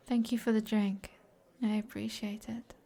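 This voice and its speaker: An alluring fantasy sorceress, played by a woman with a British accent speaks slowly and softly in an intimate ASMR roleplay. Her voice is breathy and often near a whisper, medium in pitch. She is flirty and teasing, taking her time over each phrase. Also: heavily acted. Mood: playful, teasing.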